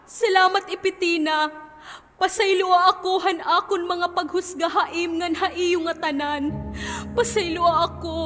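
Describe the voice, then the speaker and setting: Very high and shrill over clear music in an echoing hall, an actress plays a wronged, emotional woman. She has an Eastern Visayan Filipino accent and acts in stage melodrama style. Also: fairly even intonation, a little slow. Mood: tearful apology. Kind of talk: amateur stage melodrama acting. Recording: echoey hall, music behind.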